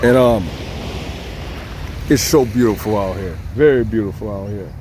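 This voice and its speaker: A man's voice: on the low side, normal speed, background noise. Socal dude vlogging on his phone by the beach, laid-back and rambly, waves faint behind him. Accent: Southern Californian. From Southern California. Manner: relaxed, rambling, repeats himself, chuckles often. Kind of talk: casual tribute vlog. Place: outdoors by the beach. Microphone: phone or camera filming himself.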